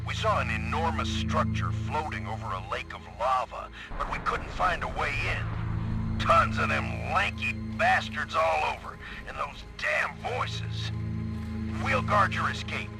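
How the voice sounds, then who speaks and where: Gravelly battle-worn soldier, swinging pitch. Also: male voice. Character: battle-worn male soldier, rough and bitter. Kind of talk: game cutscene acting. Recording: distant voice under loud game music.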